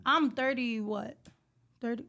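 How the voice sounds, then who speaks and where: A woman in her late thirties chats openly as a podcast guest. Her voice sits a little high, and she fills her talk with "like" and "you know what I'm saying.". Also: measured pace, creaky, over a noisy crowd. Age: 30s. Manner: chatty, open, lots of filler phrases. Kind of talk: casual chat.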